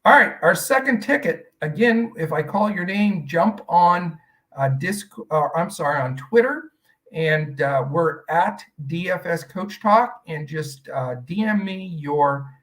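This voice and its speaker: A middle-aged man from Western Pennsylvania breaks down picks as a podcast host. His voice sits a little higher than most men's. He rambles in a loose, conversational way, full of fillers and restarts. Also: expressive, unhurried, a bit bright. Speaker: fantasy sports podcast host. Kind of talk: casual podcast analysis.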